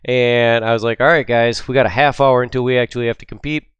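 A man's voice: mid-range voice, fairly even intonation, fast, clear, resonant tone, dry recording, highs cut off. A middle-aged man hosting his own podcast, talking casually and upbeat with lots of ums, his voice a little stuffed up. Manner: chatty and upbeat, with frequent ums. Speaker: podcast host. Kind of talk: casual solo podcast talk.

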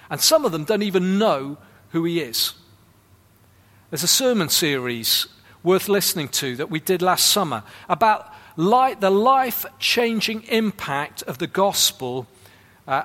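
A man's voice: fairly high pitch, fairly bright tone, long reverb tail. A man preaching a sermon in an earnest, urging way, asking the listeners many questions. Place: church hall.

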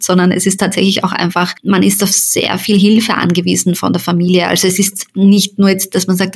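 A woman's voice: medium pitch, breathy edge. A woman hosting an interview podcast, speaking in a thoughtful, searching way with frequent fillers. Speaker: podcast host and journalist. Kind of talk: personal reflective conversation.

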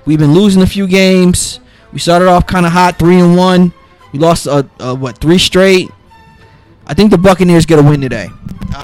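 A man hosting a casual solo football podcast, raspy and under the weather, rambling loosely with lots of fillers and restarts. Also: fairly high pitch, a little mellow, no echo. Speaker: podcast host making football picks.

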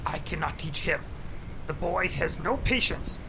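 A man playing a tiny ancient alien master in an audio drama, mischievous, cranky and wise, with a croaky voice and inverted phrasing. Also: on the high side, expressive, unhurried, heavy background noise. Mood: grave, stern. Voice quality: croaky, gravelly. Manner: inverted syntax, chuckles and hums between phrases. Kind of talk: audio drama character acting.

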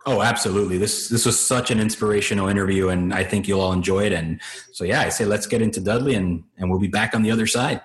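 A man's voice: fairly low pitch, fast. A man co-hosting a talk show, introducing a guest in an upbeat way with many "uh" and "um" fillers. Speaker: co-host.

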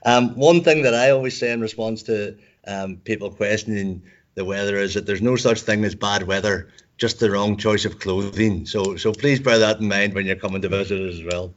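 A man's voice: on the low side, normal speed. A man from university recruitment chatting casually in a panel discussion, stumbling over repeated words as he goes. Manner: hesitant, many repeated words and restarts. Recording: dull lo-fi sound.

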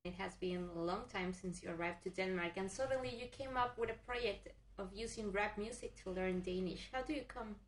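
A woman's voice: medium pitch, brisk, hardly any pauses, a little hiss or hum. A woman interviewer asking plain, careful questions. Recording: distant mic with music behind.

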